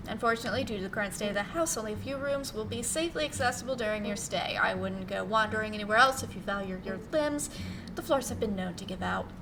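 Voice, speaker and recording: A woman's voice: mid-range voice, fast, recorded from a distance, background noise, natural voice. A woman voicing an icy, haughty female cousin in a visual novel, curt, formal and disdainful. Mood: stern, dismissive. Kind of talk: visual novel character read-aloud.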